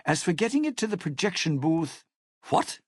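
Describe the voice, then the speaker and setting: An actor playing a cynical, self-serving lounge singer in a video game, with a raspy, gravelly voice and a wry, theatrical, menacing delivery. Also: male voice, fairly high pitch, very animated, normal speed, dry recording, clean studio sound. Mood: urgent, wry. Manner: wry, theatrical, hesitant muttering turning to threats. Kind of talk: video game character dialogue.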